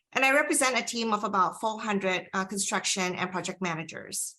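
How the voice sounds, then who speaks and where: A woman's voice: mid-range voice, bright, forceful tone, rough recording. A middle-aged woman from Malaysia, speaking earnestly as a guest on a podcast interview.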